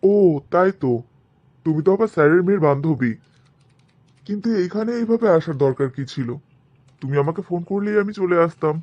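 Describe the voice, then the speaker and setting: A man's voice: high-pitched, expressive, a little fast, long pauses, darkish tone, lo-fi sound. A man playing a devoted, flustered young office worker fiancé in a cartoon drama. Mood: flustered, eager.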